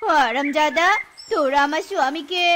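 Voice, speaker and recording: A woman voicing a sharp-tongued, bossy animal wife in a comic cartoon, with a scolding, exclamatory delivery. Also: high voice, moderate pace, background noise, close to an everyday voice. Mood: indignant. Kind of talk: exaggerated comic cartoon dubbing. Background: music.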